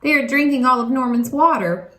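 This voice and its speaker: A woman reads a children's story aloud in an expressive, animated way, leaning on words for emphasis. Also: high voice, a little fast, reverberant. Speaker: storybook reader.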